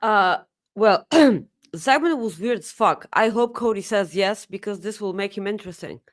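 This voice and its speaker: A woman's voice: medium pitch, animated, normal speed. A native Bulgarian speaker, this woman co-hosts a casual wrestling talk show with blunt, emphatic, sweary opinions. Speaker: co-host of a wrestling preview show.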